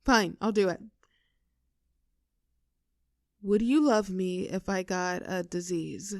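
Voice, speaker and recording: Casting: woman to play playful young girlfriend, teasing yet anxious underneath, intimate roleplay audio, mid-pitched, dry close sound. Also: a little mellow, no echo, lightly acted. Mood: hesitant, serious. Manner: teasing, halting when earnest.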